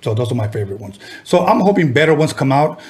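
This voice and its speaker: A middle-aged man from Southern California hosting a podcast, asking questions in a relaxed, conversational way. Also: medium pitch, quick pace. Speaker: podcast host. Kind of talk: casual podcast interview.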